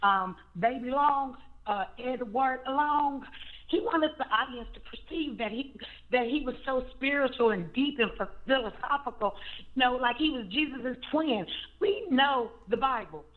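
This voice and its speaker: A hoarse woman calling in to a talk show by phone, giving blunt, indignant opinions. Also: high-pitched, measured pace. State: hoarse after surgery. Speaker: caller. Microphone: phone call. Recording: muffled phone line.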